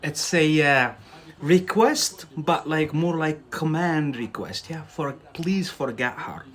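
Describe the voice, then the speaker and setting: A man's voice: on the high side, slow, a bit bright. A young man, not a native English speaker, teaching English on a live stream, chatting casually with viewers, often laughing and tagging on "yeah?".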